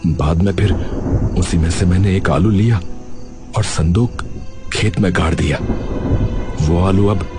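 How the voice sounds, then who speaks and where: A man's voice: sits low, a little slow, pauses often. A man voicing an earnest village man in a moral story, speaking in a dramatic, colloquial, exclamatory way. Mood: confiding. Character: earnest adult village men, friends sharing secrets. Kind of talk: Hindi moral story voice acting. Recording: clear music bed behind voice. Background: music.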